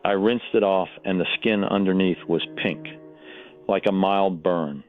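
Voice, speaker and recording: A man's voice: low-pitched, unhurried, soft background music. A man playing an uneasy local radio caller in an audio drama, plainly describing things over a tinny phone-like line. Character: uneasy local man reporting what he saw. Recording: tinny, phone-like narrow sound.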